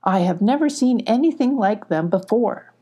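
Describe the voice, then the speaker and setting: A woman's voice: medium pitch, expressive, moderate pace, dark tone, breathy edge. A woman voicing a polite, apologetic, hesitant male beaver neighbor in a children's storybook. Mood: earnest. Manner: hesitant, courteous.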